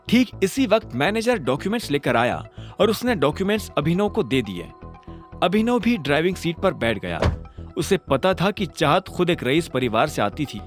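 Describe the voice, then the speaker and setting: A man narrating a scripted audio drama as a dramatic storyteller. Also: on the high side.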